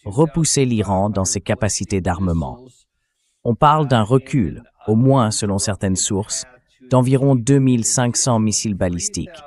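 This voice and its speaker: A man hosting a political talk show, explaining his argument in a measured way as a synthetic dubbed read. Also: on the low side, a little mellow. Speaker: host.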